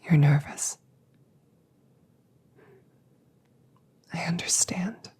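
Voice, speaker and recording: Woman playing a hushed, breathy, slow android girl. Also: deep voice, full character voice. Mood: soothing, reassuring. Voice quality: soft, breathy, whispery. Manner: slow, measured, precise formal phrasing with pauses. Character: calm, reassuring female android, damaged and gentle. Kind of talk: whispered ASMR audio roleplay.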